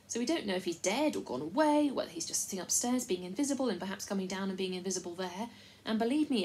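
A woman's voice: mid-range voice, a little mellow, voice pushed up, close to an everyday voice, poor audio. A woman voicing the bumbling, long-winded male chief of an invisible folk in an audiobook, speaking in a rustic, folksy way. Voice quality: rustic, rambling, folksy speech.

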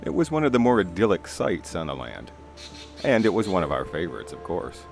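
A man's voice: low-pitched, huge pitch swings, a little fast, creaky. A middle-aged man narrating a first-person spooky story in a conversational, folksy way. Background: music.

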